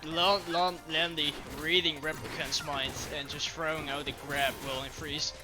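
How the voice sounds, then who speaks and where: A man with a Dutch accent, excitable and tripping over restarts as he adds color commentary to a match. His voice sits a little higher than most men's, and game music plays clearly behind him. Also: normal speed. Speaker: esports co-commentator.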